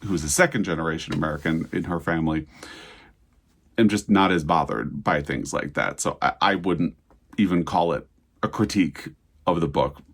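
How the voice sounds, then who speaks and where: A man's voice: deep voice, moderate pace. A man hosting a casual book vlog, talking conversationally with digressions and self-corrections. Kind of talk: casual book chat to camera.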